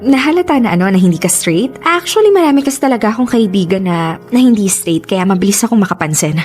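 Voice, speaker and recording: A woman acting in a Tagalog radio drama as a candid, affectionate young woman being courted, with a teasing, expressive delivery. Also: mid-range voice, quick pace, lightly acted. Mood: surprised, playful. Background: soft music.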